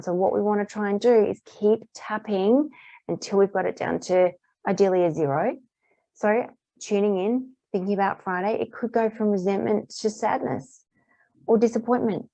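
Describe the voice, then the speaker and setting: Calm, warm-voiced woman guiding a tapping lesson. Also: medium pitch, no room sound. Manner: calm, repetitive guiding phrases. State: slightly hoarse, clearing throat. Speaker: EFT tapping practitioner. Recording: dull, muffled dry recording.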